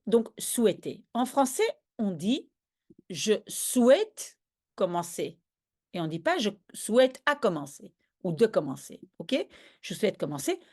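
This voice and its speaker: A woman's voice: mid-range voice, a little mellow. A woman teaching a grammar lesson in a patient, step-by-step way, often stopping to check that listeners follow. She is a native Dutch speaker. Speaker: language teacher. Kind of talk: explaining grammar in a video lesson.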